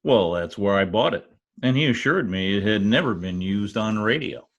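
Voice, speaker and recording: A man acts out a wisecracking detective in a radio play. His voice sits slightly low and swings widely in pitch, glib and easygoing in its banter. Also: lively intonation, moderate pace, dull audio. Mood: flippant. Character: breezy wisecracking male private detective. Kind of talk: old-time radio detective drama acting.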